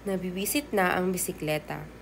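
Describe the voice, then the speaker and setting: A woman's voice: lowish voice, moderate pace. A woman teacher reading a children's storybook aloud, expressively and directly to young listeners. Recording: clear background noise.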